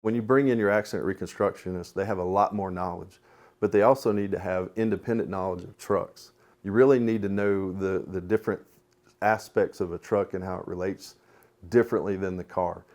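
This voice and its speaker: A man with a low voice speaks as an instructor in a training talk. He is plain-spoken and methodical, laying out his explanation step by step. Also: steady tone, a little fast, a little mellow. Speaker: police sergeant and crash investigator, instructor.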